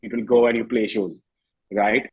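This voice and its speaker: A man in his thirties with an Indian accent, speaking candidly and reflectively as an interview guest, often halting and rephrasing his thoughts. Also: lowish voice, level tone, slightly rough, phone-quality sound. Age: 30s.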